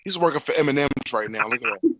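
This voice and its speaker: A man with a Philadelphia accent, co-hosting a podcast in casual chat, an animated storyteller who laughs often. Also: medium pitch, quick pace, crowd noise. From Philadelphia area. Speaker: podcast co-host.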